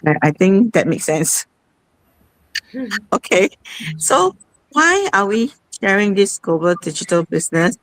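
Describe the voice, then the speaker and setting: A woman's voice: mid-range voice, expressive, a little slow, pauses often, fairly bright tone, no room sound, poor audio. A woman, hosting a live online talk in a chatty way with frequent restarts. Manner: chatty, many restarts and rhetorical asides. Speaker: host.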